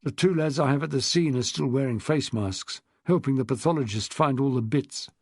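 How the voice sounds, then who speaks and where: A man's voice: mid-range voice, normal speed, husky, no echo, clean studio sound. A man voicing a guarded middle-aged policeman who answers in terse, clipped lines. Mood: grim.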